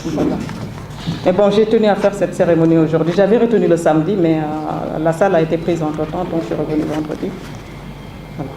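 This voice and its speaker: A woman with a slightly stuffy voice from a cold delivers a formal, measured thank-you speech, pausing on fillers. Her voice rings around a roomy event hall. A steady hiss of background noise runs under it. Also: deep voice. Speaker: author presenting her book.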